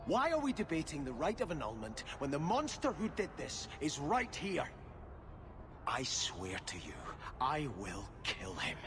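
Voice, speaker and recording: Devout anguished noble, vengeful, impassioned, widely varying pitch, game cutscene, background noise. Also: male voice, huge pitch swings, a little slow, exaggerated voice. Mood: vengeful fury. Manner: impassioned, formal. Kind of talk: dramatic fantasy game acting.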